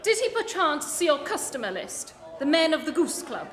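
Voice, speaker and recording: A woman playing a shrewd Victorian gentleman detective in a radio play, speaking formally and precisely. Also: high-pitched, big pitch swings, normal speed, some chatter behind. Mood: inquisitive. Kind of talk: comic radio play acting. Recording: long hall reverb.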